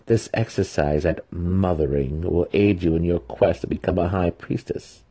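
A man voicing an imperious dark elf matriarch for an audiobook, with a commanding, deliberate and menacing delivery. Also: low-pitched, breathy edge. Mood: cold threat.

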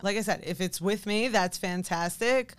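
A woman's voice: medium pitch, normal speed, clean tone. A woman who works as a fitness coach, talking informally and conversationally as a guest in a casual interview. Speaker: podcast guest, fitness coach and business owner.

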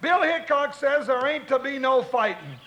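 An actor playing a blustering, easily flustered deputy sidekick in an old-time radio Western, with a folksy American Western drawl. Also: male voice, very high-pitched, lively intonation, normal speed, bright, forceful tone, full character voice. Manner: blustery, stammering when flustered.

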